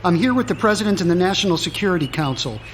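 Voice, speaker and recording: An actor playing an urgent, businesslike government defense secretary in a radio drama. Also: male voice, high voice, expressive, very fast, a little hiss or hum.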